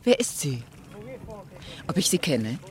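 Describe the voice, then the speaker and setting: A woman's voice: deep voice, very animated, slow, exaggerated voice. An actress playing a worldly, ironic middle-aged society lady in a 1960s German radio drama, teasing and questioning expressively. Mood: intrigued. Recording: faintly noisy 1960 radio recording.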